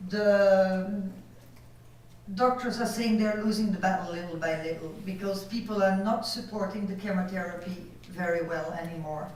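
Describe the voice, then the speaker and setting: A woman from Belgium with a French accent, whose first language is French, giving a conference lecture over slides and pausing now and then to search for words. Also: medium pitch, darkish tone, slight background noise. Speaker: conference speaker. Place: conference hall. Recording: echoey hall from far off.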